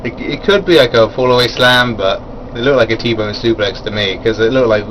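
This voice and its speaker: A man talks through a wrestling event in a loose, rambling way, with plenty of ums and restarts and little chuckles at his own side remarks. His voice sits in an ordinary middle pitch. A faint hum of air conditioning runs underneath. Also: brisk. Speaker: wrestling reviewer. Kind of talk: casual spoken event review.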